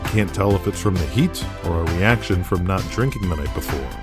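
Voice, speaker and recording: Male storyteller, low register, scripted dramatic narration, close dry recording with music underneath. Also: lively intonation, brisk.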